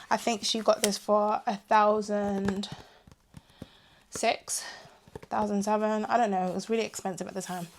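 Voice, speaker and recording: Chatty young woman, a little hoarse. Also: mid-range voice, a little hiss or hum. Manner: chatty and animated, drifting into asides. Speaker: vlogger reviewing her tech purchases. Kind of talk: casual chat to camera.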